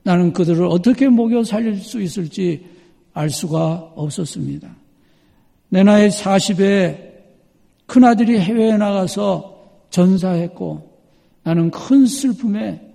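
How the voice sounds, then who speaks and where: A man in a church preaching a sermon, his voice set high for a man and roughened with a gravelly edge. He speaks in a measured, emphatic way and leans on repeated phrases. The sound carries a long reverb from the hall around him. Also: expressive, dark tone. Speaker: pastor.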